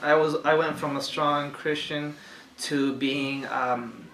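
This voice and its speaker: Soft-spoken young man in an echoey room. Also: fairly high pitch, steady tone, takes it slowly. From Chile. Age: young adult. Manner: soft-spoken, hesitant, many fillers. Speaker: interview guest. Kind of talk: conversational testimony. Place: room with bookshelves, indoors. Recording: echoey room, faint hiss.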